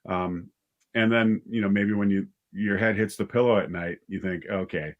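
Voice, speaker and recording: A man's voice: lowish voice, moderate pace, narrow, tinny audio. A man talking casually as an interview guest, a drama director telling anecdotes in a conversational way.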